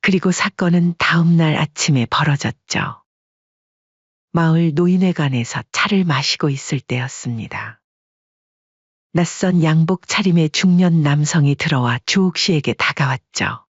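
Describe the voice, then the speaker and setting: A narrator reading a family drama in calm, measured scripted narration. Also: medium pitch, slightly rough, dry recording, dull audio.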